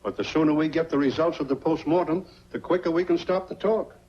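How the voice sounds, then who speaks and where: Husky old country doctor. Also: male voice, a little high, brisk, darkish tone. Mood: gently reassuring. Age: older. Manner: plainspoken, folksy. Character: weary, remorseful old small-town male doctor. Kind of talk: 1940s film noir acting. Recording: dull 1940s film soundtrack.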